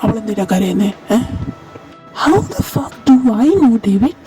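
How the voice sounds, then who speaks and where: A woman voicing an angry, protective older brother who confronts a rival in loud, accusing bursts. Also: medium pitch, lively intonation, slow. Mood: furious. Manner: shouting, confrontational. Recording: distant mic over clear music.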